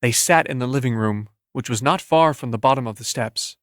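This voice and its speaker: A man's voice: mid-range voice, fairly quick, a bit bright, no echo, clean studio sound. A man reading a scripted first-person literary story as an audiobook narrator.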